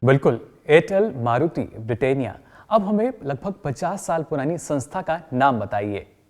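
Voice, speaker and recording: A man's voice: medium pitch, sing-song, moderate pace, darkish tone, natural voice. A man playing a patient, encouraging trainer who lectures and puts questions to a group in a scripted training film. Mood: instructive. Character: encouraging male trainer of company directors, patient and instructive. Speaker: trainer leading a workshop.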